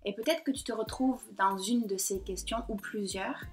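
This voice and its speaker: A woman in her thirties from the south of France, her accent blended with Québécois, talking straight to her computer in a personal vlog. She speaks hesitantly, with frequent pauses and fillers. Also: mid-range voice, faint music behind. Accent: southern French mixed with Québécois. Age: 30s. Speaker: painter and blogger. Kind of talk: personal confiding vlog to camera. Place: at home in front of her computer. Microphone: computer or laptop mic.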